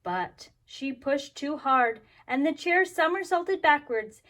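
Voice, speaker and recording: A woman's voice: high-pitched, animated, slow, fairly bright tone. A woman talking and reading aloud expressively to young children as a kindergarten teacher, explaining things simply. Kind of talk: children's story time, expressive read-aloud.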